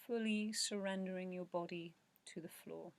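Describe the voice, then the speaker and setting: Soft low woman doing guided meditation, flat soothing tone, slow w lots of pauses, kinda breathy at the ends. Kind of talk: guided meditation narration, yoga nidra.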